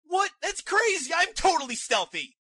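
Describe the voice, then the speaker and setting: A woman's voice: very high voice, sing-song, normal speed, bright, forceful tone, no echo, heavily acted. A woman voicing a brash, dim teenage ninja boy with slangy surfer-dude speech in a cartoon parody. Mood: excitable. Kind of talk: fan-dub parody cartoon acting.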